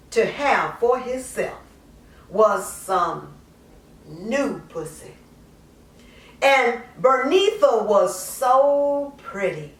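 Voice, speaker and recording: A woman performing a dramatic monologue poem as a troubled, self-justifying mother, colloquial, drawn-out and confessional. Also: fairly high pitch, heavily acted. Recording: echoey room, distant mic.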